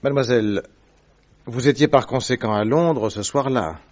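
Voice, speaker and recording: A man plays a keen, probing male detective who questions people crisply. His voice sits at an ordinary middle pitch. The recording has the dull, lo-fi sound of a vintage radio drama. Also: moderate pace, darkish tone, lightly acted.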